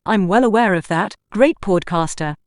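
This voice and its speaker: A woman's voice: mid-range voice, lively intonation, normal speed, no room sound, clean studio sound, close to an everyday voice. A woman playing a florid, obsequious, scheming minion in a sci-fi audio drama parody. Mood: smooth, sly. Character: fawning, scheming noblewoman minion, grandly theatrical and devious.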